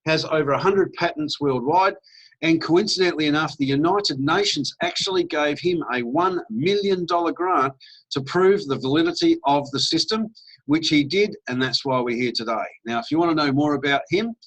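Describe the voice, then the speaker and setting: Upbeat Australian man pitching. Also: normal speed, a bit bright, poor audio. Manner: upbeat, persuasive pitch with casual fillers. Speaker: network marketing presenter. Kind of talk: sales presentation.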